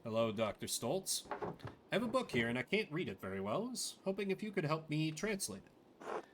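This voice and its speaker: A man voicing an earnest, stubborn and sharp-tongued young woman in a video game. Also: fairly high pitch, very animated, moderate pace, slight background noise. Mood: hopeful, polite.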